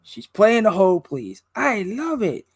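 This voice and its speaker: A man's voice: sits high, unhurried, slightly rough, no echo, studio quality. A man, a guest musician and streamer, telling a story in casual chat and acting out other people's voices. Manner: animated, acts out other people's voices in quotes.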